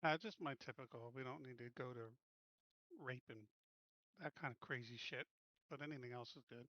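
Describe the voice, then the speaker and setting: A man's voice: mid-range voice, normal speed, sharp tone, no room sound, dull audio. A man chatting casually as a player in a game group, blunt, informal and jokey. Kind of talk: casual chat.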